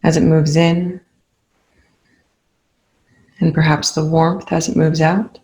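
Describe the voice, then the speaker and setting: A calm, unhurried speaker leading a guided meditation in soothing spoken instructions with long pauses. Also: mid-range voice, rough recording.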